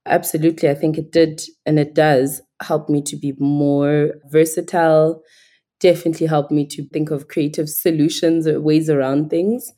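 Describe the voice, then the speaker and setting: A woman from Nigeria speaks as a podcast guest in a low, warm and mellow voice. She talks in a thoughtful, articulate and earnest way, with a measured, reflective rhythm. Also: level tone. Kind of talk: reflective conversational interview.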